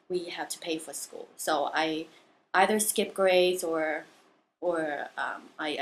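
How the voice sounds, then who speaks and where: A young woman from southern China, a native Chinese speaker, telling her personal story candidly and haltingly in an interview. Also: on the low side, a little hiss or hum, low-quality recording.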